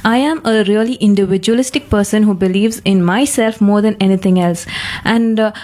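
A woman in her twenties, who speaks with confidence and earnest conviction. Her voice sits at a middle pitch. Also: a little mellow. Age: 20s.

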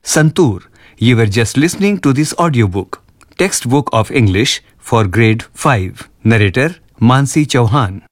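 A man reading the closing credits of an audiobook, announcing them in a lively, sing-song way. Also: mid-range voice, measured pace, no echo, clean studio sound.

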